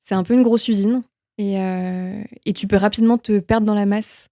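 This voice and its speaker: A woman's voice: medium pitch, steady tone, normal speed, dark tone, no room sound, clean studio sound. A young woman from France being interviewed, telling personal stories in an informal, reflective way, often correcting herself as she goes. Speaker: interview guest, film production manager. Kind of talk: casual conversational interview, personal anecdotes.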